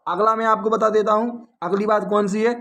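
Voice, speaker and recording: A man with a distinctly high-pitched voice and a North Indian accent from Uttar Pradesh. He speaks like a coaching teacher walking students through an update informally. He often rephrases his points and throws in rhetorical questions. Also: little pitch movement, brisk, a bit bright. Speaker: exam coaching teacher and channel host.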